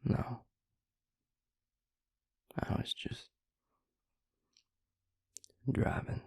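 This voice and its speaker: A man playing a weary, grieving vampire lover, tender and gruff, in an intimate audio roleplay with a husky, gravelly murmur. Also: darkish tone, no room sound, clean studio sound. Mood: weary, brooding. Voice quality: husky, breathy, gravelly low voice. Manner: slow, halting, murmured, with sighs.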